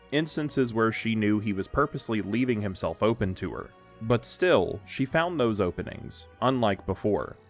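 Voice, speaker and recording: A man narrating a scripted audio drama in a measured, literary storytelling delivery. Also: lowish voice.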